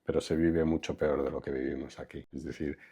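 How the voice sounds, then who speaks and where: Low, husky-voiced older man. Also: little pitch movement, dark tone. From Madrid, Spain. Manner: reflective, unhurried, trails off with fillers. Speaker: architect, interview guest. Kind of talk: relaxed conversational interview.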